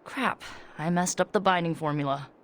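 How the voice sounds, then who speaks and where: An actress playing an informal, chatty and slightly awkward young sorceress in a video game. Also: female voice, lowish voice, moderate pace, a little hiss or hum, lightly acted. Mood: sheepish. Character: casual, eager young sorceress, a bit awkward. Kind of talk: video game cutscene acting.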